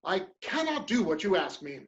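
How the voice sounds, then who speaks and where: A man's voice: sits high, sing-song, normal speed. Man playing stiff proud english gent politician, formal period play lines, muffled lo-fi mic. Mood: indignant. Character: proud English gentleman politician, indignant and cornered. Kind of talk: dramatic reading of a period stage play. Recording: dull, lo-fi microphone sound.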